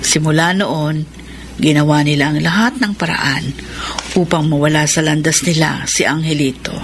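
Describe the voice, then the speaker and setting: Low-voiced, grave woman. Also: moderate pace, slight background noise. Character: serious woman solemnly recounting a child's abandonment. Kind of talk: Filipino radio drama acting.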